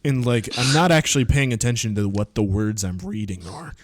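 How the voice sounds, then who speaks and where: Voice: mid-range voice, expressive, a little fast, no echo. Chuckling casual game reader. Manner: chuckles often, explains casually. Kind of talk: casual chat with friends.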